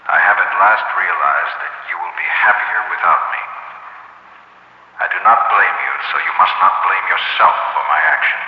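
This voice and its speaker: Actor as grave deep-voiced man, echoing hall. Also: natural voice. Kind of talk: 1960s radio drama acting. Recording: echoey, dull 1960s radio recording.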